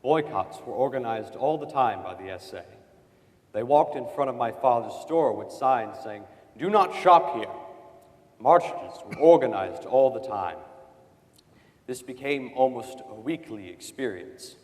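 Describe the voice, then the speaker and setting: A man's voice: medium pitch, animated, a little slow, a little mellow, lightly acted. A man acting as a sober witness in a staged reading of testimony, plain and earnest. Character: sober male witness recalling persecution in his childhood. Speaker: stage reader in a dramatic reading. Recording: echoey hall with long reverb.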